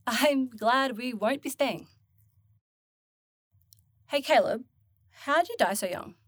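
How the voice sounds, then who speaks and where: Female actor for flippant, curious young woman in fantasy audio drama; slangy, sarcastic delivery, unhurried, higher-pitched. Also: fairly bright tone, dry recording, clean studio sound, full character voice. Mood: blunt, teasing. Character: flippant, grumpy young woman newly dead, blunt and curious.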